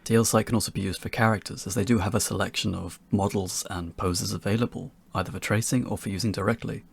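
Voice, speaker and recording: A man's voice: lowish voice, steady tone, fast talker, vocal fry, breathy edge. A man reading scripted narration for an instructional talk, in a fluent, explanatory manner. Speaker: presenter of a tutorial talk.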